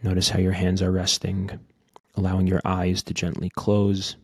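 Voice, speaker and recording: A man leading a guided meditation in a gentle, unhurried manner, speaking in short phrases with long pauses. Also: low voice, monotone, slightly rough, no echo, clean studio sound. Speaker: podcast host guiding a meditation.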